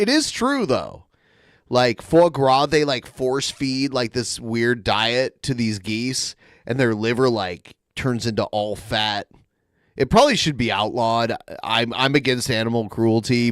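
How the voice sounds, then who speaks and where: A man hosting a podcast, chatting casually and excitedly with frequent laughs. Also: mid-range voice, dry recording, clean studio sound. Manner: excitable, laughing, swears freely. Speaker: podcast host. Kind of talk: casual banter.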